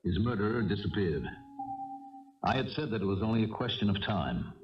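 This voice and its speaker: Casting: male actor as matter-of-fact radio drama lawman; deep-leaning, grave police type, slightly distant from the mic. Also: animated, brisk, dull audio, close to an everyday voice. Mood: grave, factual. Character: sober male police detective recounting a case. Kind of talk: 1970s radio drama narration. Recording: echoey old radio broadcast, music behind.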